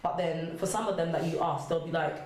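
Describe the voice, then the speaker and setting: A woman's voice: on the low side, fairly even intonation, a little fast, a little mellow. A young adult woman speaking as a guest in a casual panel discussion, illustrating her points with acted-out quotes. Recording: very echoey room, faint noise.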